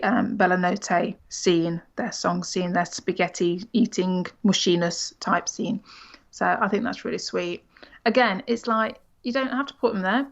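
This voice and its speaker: A woman co-hosting a podcast in a playful, chatty way. Her voice sits mid-pitch and comes through slightly dull and lo-fi. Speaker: podcast co-host. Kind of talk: casual podcast chat.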